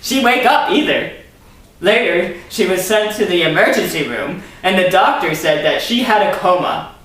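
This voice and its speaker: A man's voice: high voice, lively intonation, moderate pace, a bit bright. A man reading a story aloud theatrically, breaking in with sarcastic asides as commentary. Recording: very echoey room, distant mic.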